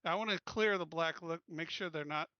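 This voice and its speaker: A man's voice: fairly high pitch, moderate pace, sharp tone, no echo, dull audio. A man playing a tabletop roleplaying game, chatting casually and thinking aloud as he plans.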